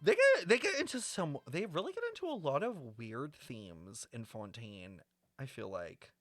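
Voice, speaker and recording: A young man giving casual reaction commentary on a game, expressive and slangy, thinking aloud. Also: medium pitch, normal speed, dry recording.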